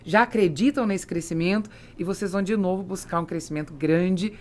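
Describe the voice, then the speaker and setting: Podcast host: Brazilian woman, slightly low voice, conversational interviewing style, quick to affirm her guest. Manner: affirming, interjecting short agreements.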